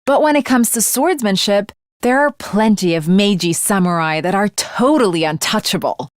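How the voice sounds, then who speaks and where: A woman's voice: mid-range voice, expressive, normal speed, no room sound, studio quality, close to an everyday voice. A woman voicing an earnest teenage swordswoman in an anime game, speaking in formal, archaic, grandiose declarations with chivalrous conviction. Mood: swooning, excited. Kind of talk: English anime game voice acting.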